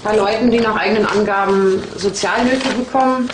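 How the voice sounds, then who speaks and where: A woman's voice: mid-range voice, brisk, roomy sound, background noise. Matter-of-fact female police investigator. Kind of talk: interview explaining evidence.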